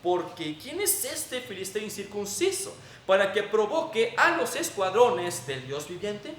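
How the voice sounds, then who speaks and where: A man's voice: sits high, sing-song, a little slow, fairly bright tone. A man with a Mexican accent preaching a sermon in an emphatic, didactic way. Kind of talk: sermon with Bible reading and prayer. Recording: echoey room, distant mic, faint noise.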